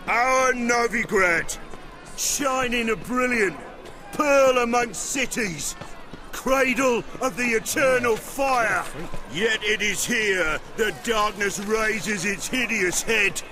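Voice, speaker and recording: An actor playing a fervent, fanatical fire-cult priest in a video game, delivering grandiose oratory. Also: male voice, sits high, slow. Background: music.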